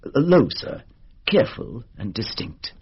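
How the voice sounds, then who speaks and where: An actor playing a formal English butler with a husky voice, respectful, careful and hesitant, in a radio drama. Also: male voice, mid-range voice, very animated, a little slow, full character voice. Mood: deferential, careful. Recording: dull lo-fi radio recording.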